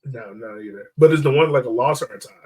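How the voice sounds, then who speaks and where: A man's voice: mid-range voice, fast, mellow. A man hosting a casual sports podcast, talking in a chatty, informal way.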